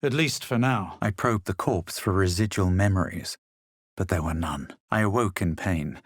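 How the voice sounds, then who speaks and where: An actor playing a smug, self-assured male adventurer in a fantasy video game, measured and dryly dramatic. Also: sits low, no room sound, clean studio sound. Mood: wry, composed. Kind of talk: video game character lines and first-person narration.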